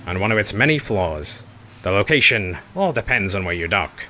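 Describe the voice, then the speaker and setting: Man doing a deep, forceful, wry male guide. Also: big pitch swings, normal speed, slight background noise, exaggerated voice. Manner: matter-of-fact, wry, assured. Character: blunt, wry male guide speaking in the ear. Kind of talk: science fiction audiobook character.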